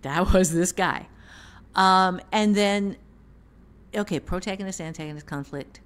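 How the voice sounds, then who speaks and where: A woman's voice: on the low side, measured pace. A woman author and teacher explaining things step by step with examples in casual podcast talk.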